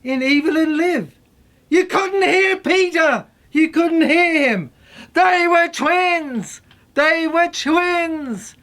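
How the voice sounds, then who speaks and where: A high-pitched, unhinged mad scientist played by a woman rambles obsessively in a theatrical monologue. The delivery is slow and fragmented, circling back and repeating itself like someone confined in an asylum. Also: fairly bright tone, heavily acted. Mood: frantic, insistent. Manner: stammering, repetitive, fragmented delivery.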